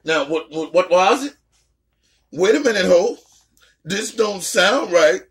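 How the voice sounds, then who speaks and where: A young adult woman hosting a casual reaction chat, talking loud and brash, swearing a lot and trash-talking playfully. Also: lowish voice, animated, takes it slowly. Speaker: host.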